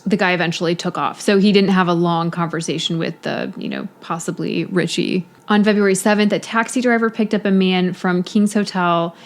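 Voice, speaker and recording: Woman, podcast co-host, casual storytelling, low-ish voice, filler-heavy talk. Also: expressive, brisk, few pauses. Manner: conversational, many fillers like "you know". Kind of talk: casual chat, recounting a case.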